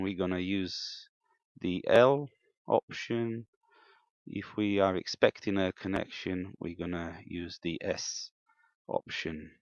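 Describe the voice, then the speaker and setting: A man giving an unscripted, step-by-step technical demonstration in a hesitant, halting way, in a slightly muffled room recording. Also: low-pitched, clean tone, dry recording. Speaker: amateur radio operator demonstrating equipment.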